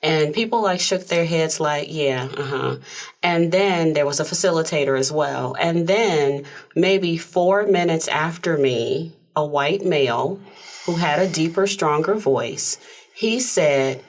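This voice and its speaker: A woman hosting a talk show in a studio, soft-spoken and conversational. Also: low voice, unhurried. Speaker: host. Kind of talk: casual interview chat.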